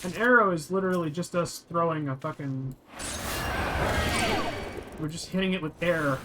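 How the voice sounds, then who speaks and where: A man's voice: on the high side, normal speed, heavy background noise. A man giving casual, offhand let's-play commentary as he describes a game, thinking aloud and swearing freely. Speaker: game streamer describing play for blind viewers.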